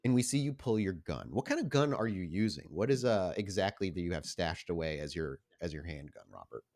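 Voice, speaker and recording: Low-pitched man running a tabletop game. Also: lively intonation, brisk, a little mellow. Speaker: game master. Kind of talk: tabletop game narration and rules talk.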